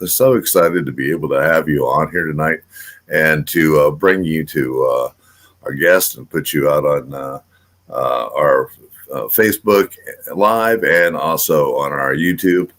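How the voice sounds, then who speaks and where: A man's voice: a bass voice, unhurried, a bit bright. An older man hosting a casual radio show, rambling and full of filler words and restarts. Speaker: host.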